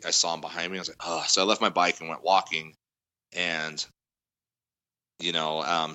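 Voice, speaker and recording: A man with a loud voice, a guest musician in a casual interview, telling a long story with lots of fillers. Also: low-pitched. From Denver area. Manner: rambling storytelling, heavy fillers.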